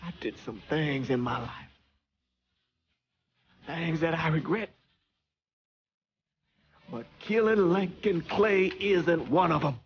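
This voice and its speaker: An actor playing an anguished mobster with a husky voice in a video game cutscene. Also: male voice, a little high, slow, long pauses, highs cut off, a little hiss or hum. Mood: anguished, defiant.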